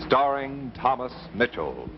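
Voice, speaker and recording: A man announcing a 1950s drama in a portentous, deliberate style on an old broadcast recording. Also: mid-range voice, sing-song, lo-fi sound, background noise.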